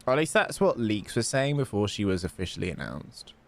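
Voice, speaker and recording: A man's voice: fairly low pitch, very animated, fairly quick. A man streaming a game, chatting casually and rambling while answering chat questions. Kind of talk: casual gameplay commentary.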